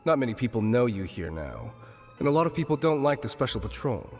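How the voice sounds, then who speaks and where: A worldly male tavern keeper, speaks at a middling pitch. He is guarded and sardonic, a streetwise game character. Faint music plays beneath the voice. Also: sing-song, brisk. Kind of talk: video game character acting.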